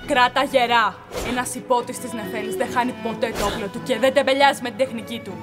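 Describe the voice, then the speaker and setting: A woman's voice: a little high, steady tone, over music, close to an everyday voice. A woman voicing a stern female swordmaster who trains her student with firm, clipped commands in a fan dub. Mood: commanding. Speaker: voice actor. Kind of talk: fan dub of an animated short.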